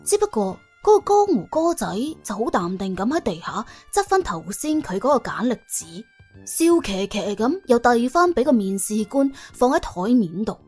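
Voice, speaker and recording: A woman with a Cantonese accent narrating a comic audiobook in a colloquial, wry and animated way. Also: fairly high pitch, normal speed, slightly rough, faint music behind.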